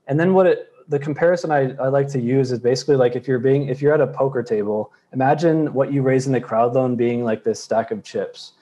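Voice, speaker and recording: A man in his thirties talks through a slide presentation on a webcam video call from a room at home. His voice is mellow, and he explains steadily with frequent "um"s and "kind of"s. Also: mid-range voice, fairly quick, few pauses. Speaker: crypto project presenter. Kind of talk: explaining slides at a virtual conference.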